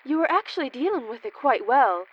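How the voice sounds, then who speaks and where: A woman acts a long-suffering woman of the family in a comic radio drama, patient but condescending. Her voice is high and matter-of-fact, with a slightly muffled, lo-fi sound. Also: very animated, fairly quick. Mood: weary, matter-of-fact. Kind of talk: comedic radio play acting.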